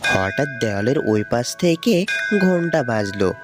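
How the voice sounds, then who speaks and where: A man's voice: medium pitch, very animated, measured pace. A man with a Bangladeshi accent reading scripted narration for a cartoon story. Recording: music under the voice. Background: background music.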